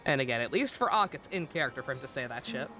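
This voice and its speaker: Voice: medium pitch, expressive, fast, background noise. A game streamer giving casual, rambling live commentary, peppered with swearing and exclamations.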